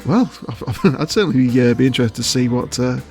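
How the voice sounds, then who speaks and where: Gravel-edged man, thoughtful and chuckly. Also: mid-range voice, lively intonation, brisk, darkish tone, soft background music. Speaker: voice actor being interviewed. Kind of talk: casual interview answers.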